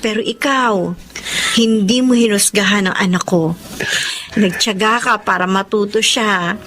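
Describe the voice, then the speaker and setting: A woman's voice: mid-range voice, measured pace, natural voice. An actress playing a grateful, kindly mother with a Filipino accent, speaking expressively in a radio drama. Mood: grateful, tender. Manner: heartfelt, expressive.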